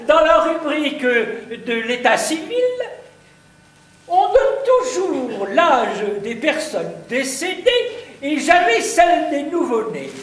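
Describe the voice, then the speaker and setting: A man playing a pompous, high-voiced husband on stage. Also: big pitch swings, slow, fairly bright tone, heavily acted. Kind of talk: amateur stage acting. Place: theatre stage before an audience. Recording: echoey hall, heard from a distance.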